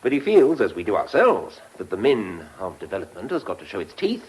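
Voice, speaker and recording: An actor playing a pompous, dithering government official, blustering and groping for words in a radio drama. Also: male voice, fairly low pitch, huge pitch swings, brisk, darkish tone, slight background noise, low-quality recording. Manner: blustering, hesitant, searching for words.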